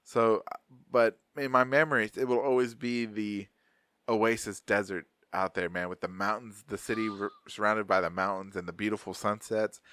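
A man's voice: on the low side, steady tone, moderate pace, a bit bright, clean tone, no room sound. A man in his thirties from Arizona, hosting a podcast in loose, rambling casual chat, swearing freely and laughing often. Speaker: podcast host.

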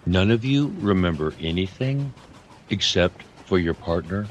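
A man playing a calm, evasive man of mystery in an audio fantasy drama. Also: sits low, measured pace, muffled recording, slight background noise. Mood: calm, cryptic. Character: enigmatic, unruffled man of mystery.

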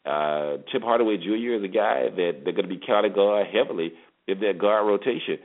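A man hosting a sports show, giving conversational commentary and recaps with pauses and repeated words. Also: fairly low pitch. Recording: tinny narrow-band phone-like sound.

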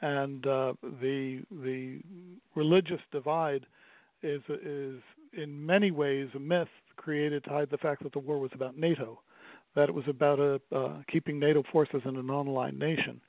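A middle-aged man, a theater owner speaking as a radio interview guest, pausing often with fillers and restarting his sentences. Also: on the high side, fairly even intonation, dry recording. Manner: halting, many fillers and restarts. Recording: dry, tinny phone-like line.

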